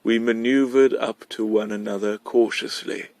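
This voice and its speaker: A man's voice: lowish voice, steady tone, moderate pace, creaky, a little mellow, dry recording. A man reading a short story aloud as scripted narration. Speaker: amateur story reader.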